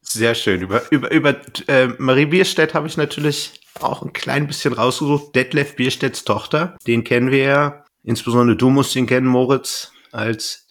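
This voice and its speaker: A man's voice: medium pitch, moderate pace, hardly any pauses, a bit bright. A man hosting a podcast, chatting casually and retelling stories in informal, colloquial language. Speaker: podcast host.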